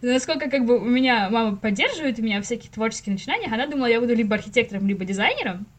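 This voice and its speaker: A woman's voice: on the high side, animated, racing. A woman around thirty chatting casually as a podcast guest, retelling stories in slangy speech and acting out people's reactions. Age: late 20s to early 30s. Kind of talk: casual chat, storytelling.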